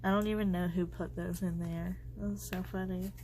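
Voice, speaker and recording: A woman's voice: lowish voice, little pitch movement, moderate pace, darkish tone, slight background noise. A congested woman chatting casually and rambling as she crafts at home, her thoughts often trailing off. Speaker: planner vlogger. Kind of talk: casual chat while crafting.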